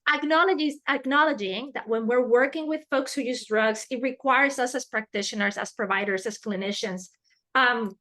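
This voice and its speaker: A woman's voice: fairly high pitch, moderate pace, sharp tone. A woman with a Spanish accent presenting a professional webinar, earnest and repeating key words for emphasis. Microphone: video call.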